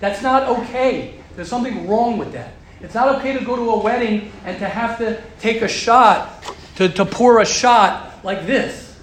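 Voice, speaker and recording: Forceful high-voiced man in an echoey room. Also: normal speed. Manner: forceful, repeats phrases for emphasis. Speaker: teacher addressing a group of young men. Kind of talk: emphatic religious talk to a group. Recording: very roomy echo with faint background hum.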